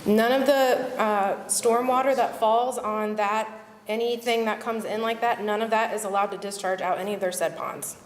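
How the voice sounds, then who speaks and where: A woman's voice: mid-range voice, fairly even intonation, normal speed. A woman from the agency's permit staff explaining monitoring rules plainly at a public hearing. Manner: explanatory, matter-of-fact. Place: public meeting hall. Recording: echoey hall, faint noise.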